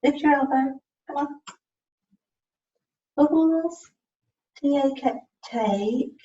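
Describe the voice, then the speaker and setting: Slow-paced woman tutor in an echoey room. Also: sits high, mellow. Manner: repeats words deliberately and spells out letters one at a time. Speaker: communication support partner helping a learner spell out words. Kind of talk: one-to-one lesson, coaxing and prompting. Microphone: video call, away from the microphone. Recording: echoey room, muffled distant mic, faint noise.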